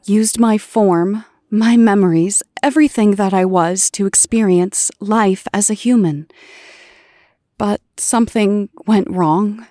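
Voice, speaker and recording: Slow-spoken, earnest heroine, a woman acting. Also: mid-range voice, a little mellow, dry recording, clean studio sound, natural voice. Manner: earnest, deliberate, emotional. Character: Jean, earnest, pleading resurrected female superhero. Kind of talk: audio drama acting.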